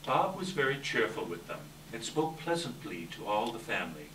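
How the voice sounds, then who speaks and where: A man narrating a scripted story dramatically, with measured, expressive delivery. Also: medium pitch. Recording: distant echoey hall, clear background noise.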